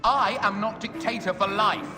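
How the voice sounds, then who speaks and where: An actor playing a righteous, indignant Roman senator in a video game, speaking like an orator. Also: male voice, high-pitched, moderate pace, natural voice. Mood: righteous challenge. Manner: oratorical, declamatory. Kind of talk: dramatic video game cutscene acting. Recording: echoey hall, music behind.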